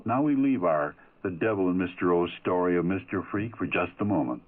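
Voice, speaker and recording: A man's voice: deep voice, animated, a little fast. A man announcing an old radio drama in a scripted style. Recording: distant, noisy narrow-band radio recording.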